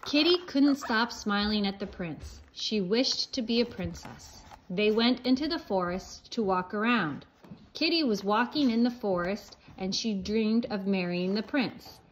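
A woman's voice: medium pitch, animated, a little slow. A woman reading a simple children's story aloud. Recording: dull home recording, faint noise.

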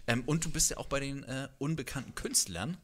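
A man's voice: medium pitch, fairly quick. A man, around his thirties, hosting a casual interview in a playful, teasing way, speaking into a handheld microphone. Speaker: interviewer, host. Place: living room music space, indoors.

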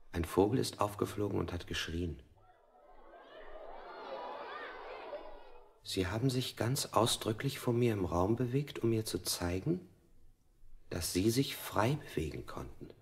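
Low, creaky-voiced interrogated prisoner. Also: male voice, heavy background noise. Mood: intent recounting. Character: male interrogated prisoner, dazed, recounting his torture. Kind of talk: avant-garde German radio play acting.

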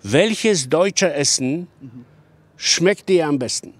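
A man in his fifties with a German accent conducts a casual street interview. His voice is a bit high for a man, and he asks simple questions with encouraging interjections. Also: lively intonation, slow pace. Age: 50s. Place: outdoors on a courtyard path. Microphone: handheld microphone.

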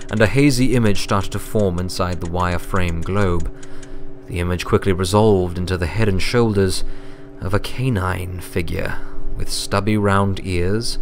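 A man's voice: lowish voice, a little hiss or hum. A man reading a story aloud as narrator, in scripted narration. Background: music.

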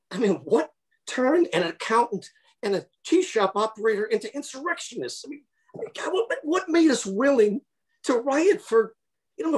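A man playing a breathy, anxious accountant. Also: very high-pitched, full character voice, poor audio. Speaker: actor in a comedy sketch. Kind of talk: video-call play reading. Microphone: video call webcam.

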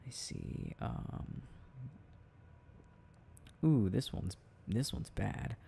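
Gravelly, low-voiced young man, playful boyfriend. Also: huge pitch swings, dark tone. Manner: giggly, playful, affectionate, halting. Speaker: boyfriend roleplay audio performer. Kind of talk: intimate ASMR boyfriend roleplay, casual improvised chat.

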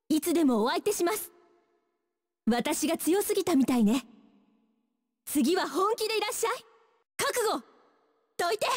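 A young female warrior in a fighting game, very high and animated. She is proud and courteous, throwing out crisp battle declarations with theatrical flair, in a long reverb.

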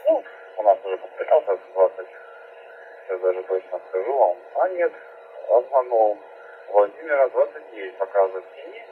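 Man over two-way radio, phone-thin noisy sound, low voice, very animated pitch, short polite phrases. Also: normal speed. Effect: radio transmission. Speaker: amateur radio operator. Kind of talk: casual ham radio contact. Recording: narrow-band noisy radio speaker playback.

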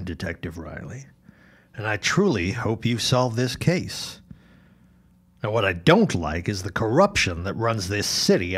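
Male actor as forty-year-old newsman, deep voice, earnest, troubled, halting delivery, period radio play. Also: fairly bright tone, slightly rough, full character voice. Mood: earnest, firm. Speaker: voice actor in audio drama. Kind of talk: period audio drama acting.

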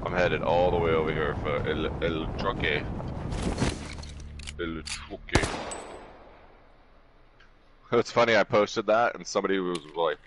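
A man's voice: deep voice, fairly even intonation, measured pace, long pauses, highs cut off. A man live streaming a video game, chatting casually with friends in a slangy, joking, sweary way. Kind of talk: casual gaming chat with friends. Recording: clear background game noise.

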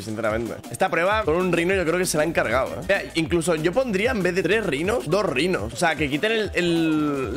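A young man from Spain with a Spain Spanish accent, casually commentating his own gameplay with a lingering cough, speaking into a boom mic at home. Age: young adult. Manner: upbeat, chatty, addresses viewers directly. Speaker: gaming youtuber. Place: home gaming room with shelves of figures. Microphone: studio mic on boom arm, headset on.

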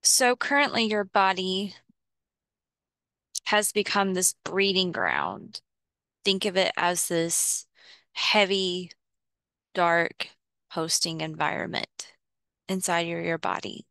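A woman leading a guided visualization, giving measured instructions in short phrases with pauses between them. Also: fairly low pitch, animated, gravelly, bright, forceful tone, no room sound. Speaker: guided meditation host.

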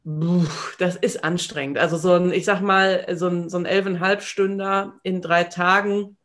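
A woman's voice: fairly low pitch, fairly even intonation, moderate pace, fairly bright tone. A woman, chatting casually as a guest on a video call. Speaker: interview guest, voice actress. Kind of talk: casual chat. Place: home room, video call.

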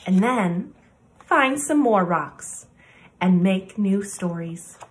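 A woman presenting story time, reading a children's picture book aloud with deliberate, expressive phrasing and pauses. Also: mid-range voice.

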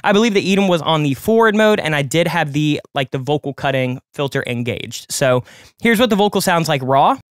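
A man of twenty-eight, a music producer hosting a tutorial, talking quickly and conversationally as he explains each production step from his home studio. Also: fairly high pitch, expressive, no room sound. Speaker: music producer and channel host. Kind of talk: screen-recorded production tutorial. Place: home studio with colored lights.

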